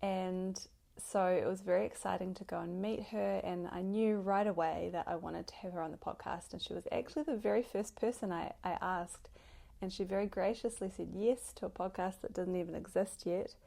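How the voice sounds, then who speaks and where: A woman hosts her podcast alone, talking in a grateful, effusive way with plenty of fillers. Her voice has a gravelly fry and a dark, mellow tone. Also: fairly low pitch, steady tone, moderate pace. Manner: warm, gushing, grateful, with soft laughs and fillers. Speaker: podcast host. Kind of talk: solo podcast introduction.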